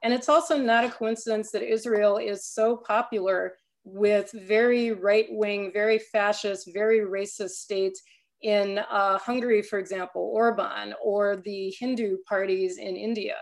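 A woman speaks as a panel guest in a mid-pitched voice. She argues her points with emphasis and energy. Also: steady tone, a little slow. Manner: impassioned, emphatic. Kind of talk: panel discussion.

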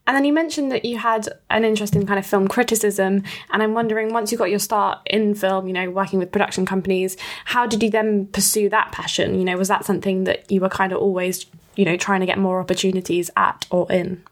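A woman's voice: medium pitch, steady tone, quick pace. A woman hosting a podcast interview, asking long encouraging questions full of "you know" and "kind of". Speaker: podcast host.

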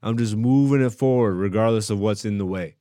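A man's voice: fairly low pitch, a little fast, dark tone, no echo. A man born in Greece doing a brash, slangy, casual comedy podcast monologue, swearing freely as he hosts from a home studio.

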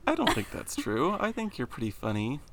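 Deep gravelly deadpan young man. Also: a little fast. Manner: deadpan, sarcastic, monotone. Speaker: podcast co-host. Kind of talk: casual chat.

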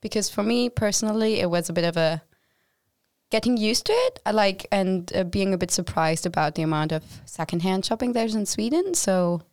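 A woman's voice: mid-range voice, moderate pace, darkish tone, no room sound, studio quality. Young German woman hosting a podcast. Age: young adult. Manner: chatty, friendly interviewer with fillers. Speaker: podcast host. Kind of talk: casual podcast chat.

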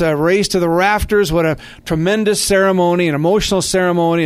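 A man's voice: a little high, clear, resonant tone. A man hosting a sports talk show, speaking enthusiastically in the manner of a radio announcer. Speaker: sports podcast host, radio play-by-play man.

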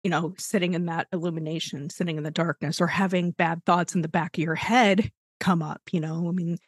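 A woman's voice: fairly low pitch, fairly even intonation, brisk, no echo, studio quality. A woman in her forties chatting on a podcast in a rambling, reflective way, with lots of "you know.". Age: 40s. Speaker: podcast co-host and tarot deck designer. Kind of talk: casual chat.

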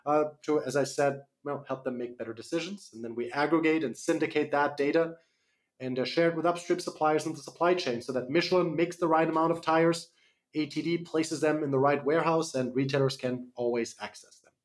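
Interview guest: man with a German accent, somewhat high-pitched, halting delivery full of "uh"s. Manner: hesitant, many fillers and restarts. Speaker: guest, startup CEO. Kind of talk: radio interview.

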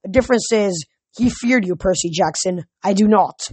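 An imperious titan lord from a fantasy storybook, acted out by a young reader, taunting and commanding. The voice is slightly husky and recorded close and dry. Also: mid-range voice, moderate pace, darkish tone, dry recording, natural voice. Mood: menacing, proud. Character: Kronos, menacing titan lord in a young man's body.

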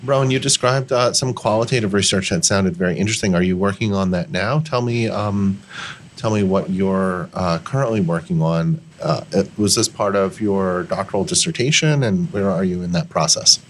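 A man with a very low voice hosts an interview and asks thoughtful, probing follow-up questions. Faint background noise sits under his voice. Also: normal speed.

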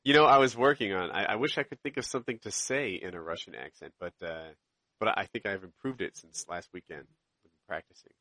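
A man's voice: lowish voice, a little fast, pushed and bright, no echo. A sardonic man chiming in with pointed remarks in a casual podcast chat.

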